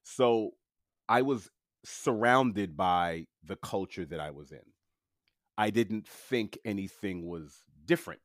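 Deep-voiced New man, dry sound. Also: dry recording. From Queens, New York City. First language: French. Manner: relaxed, halting, with many fillers and restarts. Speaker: interview guest. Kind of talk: casual storytelling.

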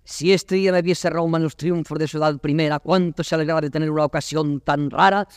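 A proud young prince played by a male actor, torn between dream and waking. He declaims classical stage verse with grandeur in a slightly high male voice. The sound is dry. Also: dry recording, close to an everyday voice. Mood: exultant, proud.

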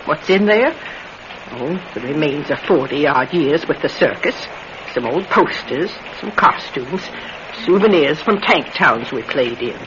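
High-voiced, animated old circus man, lo-fi. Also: measured pace. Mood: wistful, nostalgic. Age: older. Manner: wistful, folksy, rambling storytelling. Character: elderly retired circus performer, nostalgic, wary, talkative old man. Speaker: radio drama actor. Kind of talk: classic radio drama acting. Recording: dull, noisy vintage radio recording.

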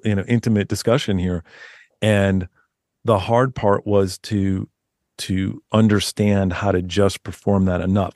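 A man with a deep voice, a guest author talking in a loose, rambling way with many restarts. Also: darkish tone, dry recording, studio quality. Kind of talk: casual interview chat.

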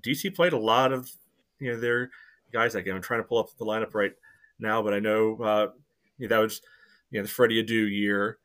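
A man's voice: on the low side, fairly even intonation, brisk, fairly bright tone. A man on a fan podcast recalling things hesitantly, with many fillers. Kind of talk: casual podcast chat.

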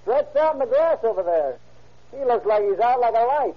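A man's voice: very high-pitched, sing-song, brisk, full character voice. An actor playing an easygoing young workman, casual and exclamatory, in an old-time radio drama. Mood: relaxed. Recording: muffled old radio recording.

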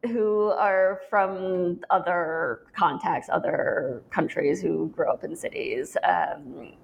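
A woman hosting an academic interview, asking long, reflective questions. Her voice is low and gravelly. A faint hiss runs under the recording. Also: lo-fi sound. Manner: measured, reflective questioning with fillers. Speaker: podcast interviewer.

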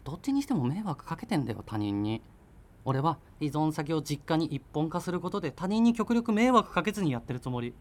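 Argumentative boyfriend in his thirties, defensive, rambling, animated intonation, everyday radio drama delivery. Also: a little high, fairly quick. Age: 30s. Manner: defensive, rambling self-justification. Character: evasive, argumentative thirty-year-old freelancer boyfriend living with parents. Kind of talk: naturalistic radio drama dialogue.

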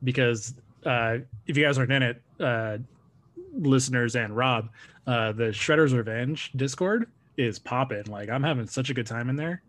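A man's voice: medium pitch, normal speed, a bit bright. A man in his thirties from Southern California, hosting a casual fan podcast and rambling with lots of fillers and restarts. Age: 30s. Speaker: podcast host. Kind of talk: casual chat.

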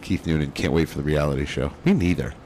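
A man's voice: a bass voice, quick pace, a little mellow, slight background noise. A man co-hosting a podcast with casual, crude, joking banter. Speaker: podcast co-host.

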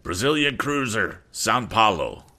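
A man performs a commanding gold-laced naval officer for an adventure storybook. He is imperious and theatrical, barking his orders in a low voice with big swings of pitch. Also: sing-song, unhurried, sharp tone, heavily acted. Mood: brusque, challenging.

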